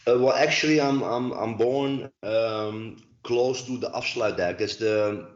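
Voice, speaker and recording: A man's voice: medium pitch, unhurried, echoey room, dull audio. A Dutch man in his forties, speaking English with a Dutch accent as a guest in a casual interview, hesitant and full of fillers. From Netherlands. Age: 40s. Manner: hesitant, many fillers and restarts. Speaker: guest, dancer and choreographer. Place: at home.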